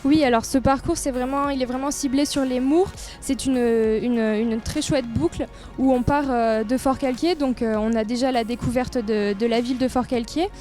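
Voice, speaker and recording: A woman with a fairly high voice speaks as a tourist office representative in an informal interview. She sounds enthusiastic as she describes what is on offer, with faint music playing behind her. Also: fairly even intonation, moderate pace, hardly any pauses, warm tone. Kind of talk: informal promotional interview, describing local trails.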